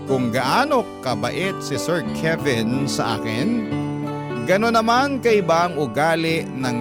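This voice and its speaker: A man with a Filipino accent narrating a listener's letter as a radio storyteller. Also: fairly high pitch. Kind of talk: dramatic first-person letter reading. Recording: prominent guitar music underneath. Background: plucked guitar music.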